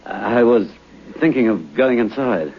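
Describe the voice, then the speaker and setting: An actor playing a polite, musing gentleman visitor in an old radio drama, speaking hesitantly. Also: mid-range voice, animated, a little slow, slightly rough. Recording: muffled old radio recording, faint noise.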